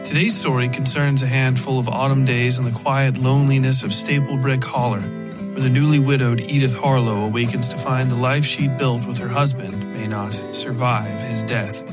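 A man hosting a fiction podcast and narrating horror stories in a scripted storytelling style. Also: mid-range voice.

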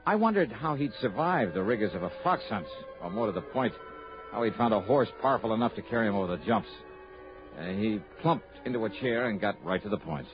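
A man's voice: fairly low pitch, lively intonation, a little fast, natural voice. A male actor playing a wry, sceptical investigator who also narrates a 1960s radio detective drama. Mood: wry narration. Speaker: lead and first-person narrator. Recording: dull old radio recording with music.

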